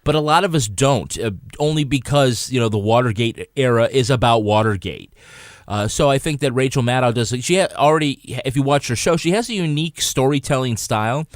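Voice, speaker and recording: A man with a mid-pitched voice chats through his recommendations as a podcast panelist. The sound is close and dry, with a casual reviewing style. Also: fairly quick, no echo.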